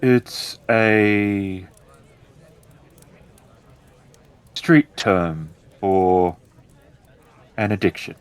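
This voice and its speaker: A man playing a polite, cautious innkeeper in a samurai-era tabletop story, with a deferential, measured way of talking. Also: fairly low pitch, clean tone. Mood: apologetic, careful.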